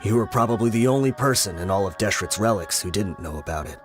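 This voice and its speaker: A level-headed desert brigand, played by a male actor with a low voice. He is patient and weary, explaining with measured regret over game music. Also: animated, lightly acted. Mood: grave, explaining. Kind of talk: video game voice acting. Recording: music behind the voice.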